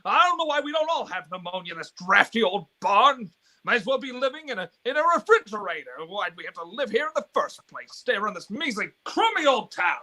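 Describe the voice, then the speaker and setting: A man acting as a desperate, irritable family man in a live radio play. His delivery swings widely in pitch, stammering and emphatic, with a sharp, forceful edge. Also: big pitch swings, fairly quick, full character voice. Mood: bitter, irritable.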